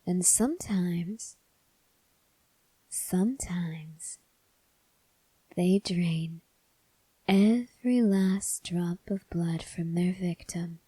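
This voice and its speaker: A woman playing a soft-spoken, menacing female vampire in an ASMR roleplay, coaxing the listener in a slow, intimate hush that often drops into whispers. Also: lowish voice, breathy edge, dry recording, full character voice, rough recording. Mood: hushed, ominous. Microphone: close mic.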